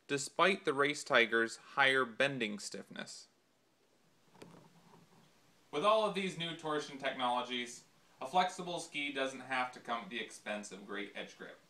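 A young man presenting a scripted product demonstration for his company, explaining each step in a measured way. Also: mid-range voice, sharp tone. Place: small indoor workshop. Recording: echoey workshop room.

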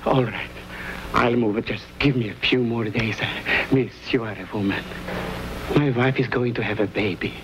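An actor playing a humble middle-aged settler husband in a 1950s western, earnest, anxious and pleading. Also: male voice, mid-range voice, fairly even intonation, normal speed, lightly acted. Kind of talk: 1950s television western acting. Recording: noisy old film soundtrack.

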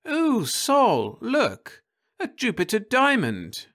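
A giddy, grasping young wife, as a male narrator puts her on squeals in a high, breathless storybook voice. She is thrilled by treasure and bossy about keeping it, and her pitch leaps well above a man's usual range. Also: huge pitch swings, slow pace, a bit bright, exaggerated voice. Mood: excited. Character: excited greedy young wife, woman. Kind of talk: audiobook character voice.

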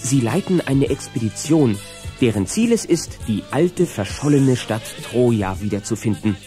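A man's voice: mid-range voice, sing-song, normal speed, warm tone, faint music behind. A man narrating a scripted children's audio drama.

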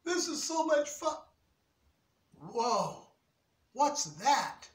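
A man doing squeaky excited little kids. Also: takes it slowly, echoey room, full character voice. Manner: high, eager, squeaky.

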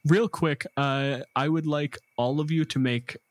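A man's voice: on the high side, steady tone, a little slow, no echo. A man working as game master, narrating a tabletop role-playing game in a conversational, descriptive way. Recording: guitar music behind the voice. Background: plucked guitar music.

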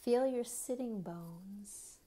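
A woman guides a meditation with a very slow delivery and a dark, relaxed tone. She speaks gently in short phrases with long pauses, letting the ends soften into breathiness. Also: medium pitch. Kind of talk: guided body scan meditation.

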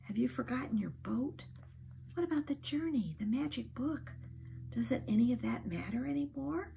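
Woman playing a whispery, hissing storybook dormouse, stern and gravelly. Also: high voice, animated, moderate pace, warm tone, pitched above the performer's own voice, exaggerated voice. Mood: urgent warning.

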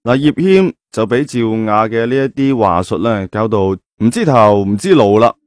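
A man's voice: fairly low pitch, animated, normal speed, no echo, clean studio sound. A man with a Cantonese accent telling a story in colloquial, dramatic style, with chatty rhetorical asides.

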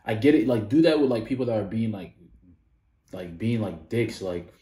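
Man in his thirties, low voice, rambling reaction talk, echoey room. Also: normal speed. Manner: rambling, many "like" fillers, animated when disagreeing. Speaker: reaction video host. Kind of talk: casual reaction commentary. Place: small indoor room.

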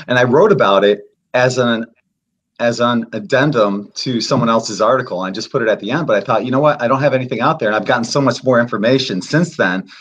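Late-50s guy on a video call, earnest and steady, repeats stuff for emphasis. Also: medium pitch, slightly rough. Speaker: guest. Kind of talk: earnest explaining in a chat. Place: indoor room.

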